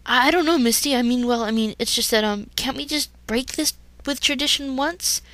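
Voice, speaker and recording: A woman's voice: sits high, a little fast, a bit bright, dry recording, pitched above the performer's own voice. A woman voicing a nervous teenage boy who stammers and hesitates. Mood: flustered. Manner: stammering, flustered.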